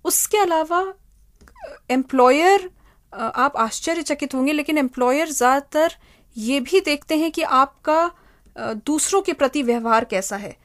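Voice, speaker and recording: A woman's voice: high-pitched, unhurried. A woman giving methodical, step-by-step advice as an interviewed expert. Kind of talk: interview answers, explanatory advice.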